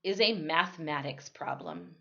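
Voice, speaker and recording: Storybook quiz host, male character read by a woman: formal, deliberate announcer delivery, lo-fi sound. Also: low voice, very animated, gravelly, sharp tone, exaggerated voice.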